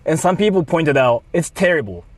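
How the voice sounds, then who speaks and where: A man livestreaming a mock apology to his viewers, speaking in deliberate, halting short phrases. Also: a little high, sing-song, dry recording.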